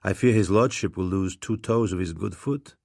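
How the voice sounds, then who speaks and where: A man's voice: sits low, normal speed, gravelly, darkish tone, no echo, studio quality. A man reading aloud voices a blunt frontier guide who talks in a plain, matter-of-fact way.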